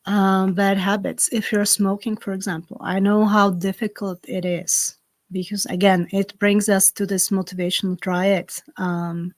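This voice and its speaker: A woman with a Slovak accent, a native Slovak speaker, hosting a live-streamed ritual from home and giving slow, gentle instructions full of fillers. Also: mid-range voice, level tone, no echo. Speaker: spiritual ritual host. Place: home in the south of France. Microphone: computer on a live stream.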